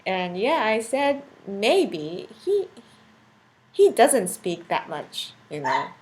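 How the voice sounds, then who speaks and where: A woman's voice: mid-range voice, big pitch swings, slow pace, a little mellow. A woman in her thirties from Japan with a Japanese accent, chatting casually with viewers on a live stream, full of "you know" fillers and laughing often. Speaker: cooking channel host on a live stream.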